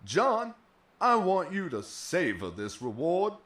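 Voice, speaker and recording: Smug prison boss type, highish voice that swoops around, fake friendly but threatening, audio drama. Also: male voice, wildly swooping pitch, fairly bright tone, heavily acted. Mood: mock-friendly, menacing. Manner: mock-friendly, condescending, drawn-out veiled threats. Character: sneering, smug, menacing male authority running a prison.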